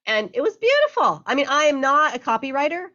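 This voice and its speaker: A woman's voice: high-pitched, big pitch swings, brisk, pushed and bright, lo-fi sound. A woman co-hosting a radio talk show, chatting enthusiastically and introducing a guest. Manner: enthusiastic, upbeat. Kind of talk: casual chat and guest introduction.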